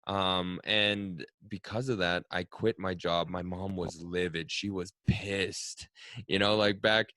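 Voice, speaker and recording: A man's voice: low-pitched, steady tone, slow, sharp tone, no echo. A man in his thirties, a native Cantonese speaker, hosting a casual interview podcast and talking reflectively with frequent tag questions. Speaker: podcast host.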